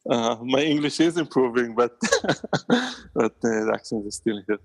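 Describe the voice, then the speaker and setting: A man with an Israeli accent, a company founder, answering questions in a podcast interview with thoughtful, halting replies and frequent laughs. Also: mid-range voice, big pitch swings. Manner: thoughtful, many restarts, laughs often.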